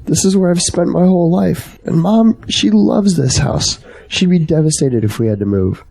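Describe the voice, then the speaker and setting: An actor playing a devoted young adult son in an audio drama, sincere and plain-spoken, with a gravelly, husky voice. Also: male voice, fairly high pitch, moderate pace, mellow. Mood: dismayed. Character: earnest, devoted young adult son, anxious for his family.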